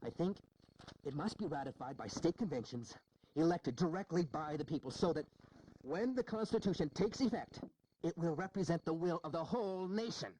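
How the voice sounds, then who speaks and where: An actor playing an earnest, worried founding statesman in a historical audio drama, deliberating haltingly and with emphasis. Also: fairly high pitch, warm tone, slight background noise, natural voice, low-quality recording. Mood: earnest, persuasive.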